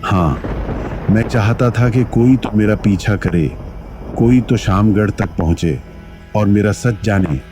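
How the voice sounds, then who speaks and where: A man's voice: on the low side, unhurried, close to an everyday voice. A man playing the solemn, earnest ghost of an honest village milkman in a Hindi cartoon story. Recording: music behind the voice.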